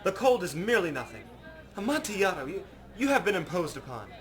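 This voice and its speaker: A man voicing a tipsy, boastful, excitable carnival reveller in a dramatic story reading, exclaiming with drunken bluster. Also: high-pitched, fast, pitched above the performer's own voice. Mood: eager, dismissive.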